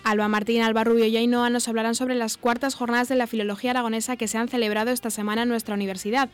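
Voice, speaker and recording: A woman's voice: fairly high pitch, level tone, very fast. A woman with an accent from Spain presenting a scripted introduction as host of a radio program. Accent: Spanish from Spain. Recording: music bed under the voice. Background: guitar music.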